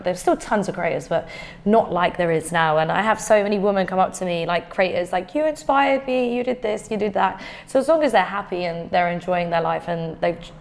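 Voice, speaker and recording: A woman's voice: on the low side, vocal fry. A woman as an interview guest, chatting casually with frequent fillers and swearing. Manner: chatty, swears freely, fills with "like". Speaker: interview guest, influencer boxer.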